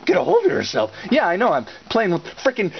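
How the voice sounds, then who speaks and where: A man delivering a satirical recap in a sarcastic, theatrical and halting manner, often repeating words. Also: high-pitched, huge pitch swings, creaky, slight background noise. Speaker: reviewer and puppet-show host. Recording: slightly dull lo-fi audio.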